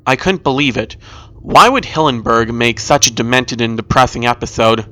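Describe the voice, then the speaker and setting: Bright-voiced man narrating a horror story. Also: medium pitch, normal speed. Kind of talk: scripted first-person horror story narration.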